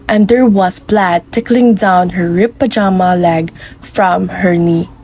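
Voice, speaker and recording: A woman's voice: on the low side, a little slow. A woman narrating a scripted story for a puppet show. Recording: clearly noisy recording with ambience.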